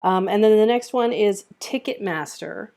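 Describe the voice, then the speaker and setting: A woman's voice: mid-range voice, normal speed, mellow. A woman casually hosting and organizing an online table read over a group voice chat.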